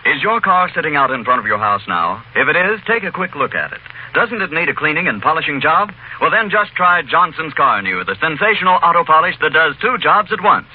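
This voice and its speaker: A man's voice: on the high side, very animated, a little fast, slight background noise. An American man reading a scripted 1940s radio commercial as an announcer. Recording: tinny, narrow-band 1940s radio recording.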